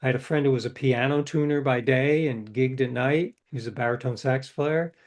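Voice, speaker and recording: A man's voice: mid-range voice, brisk. Older man, interview guest, rambling storyteller, voices quoted dialogue, self-correcting. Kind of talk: casual storytelling.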